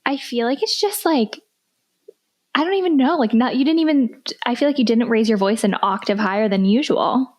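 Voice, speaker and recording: A woman's voice: fairly high pitch, moderate pace. A young woman co-hosting a podcast, chatting casually and laughing often, with many "like" fillers. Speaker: podcast co-host. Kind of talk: casual chat.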